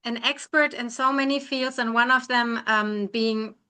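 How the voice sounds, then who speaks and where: A woman acting as interviewer, putting questions in a polite, somewhat halting manner. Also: a little high, steady tone, pushed and bright, clear, resonant tone.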